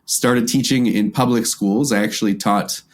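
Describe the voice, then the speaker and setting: American male interview guest, casual storyteller with a slightly low voice. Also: steady tone, moderate pace. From United States. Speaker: podcast guest, violinist and music teacher.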